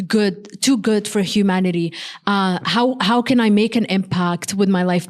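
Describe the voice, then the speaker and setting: A young woman from Morocco, a software engineer guest, talking earnestly in a casual interview with many "like" fillers. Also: mid-range voice. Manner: heavy use of "like" fillers, earnest.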